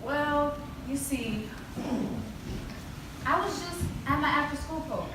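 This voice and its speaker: A woman's voice: high voice, takes it slowly. A woman acting a hurt, bullied teenage girl in a stage play, hesitant and plaintive. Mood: troubled. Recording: echoey hall from far off, clear noise.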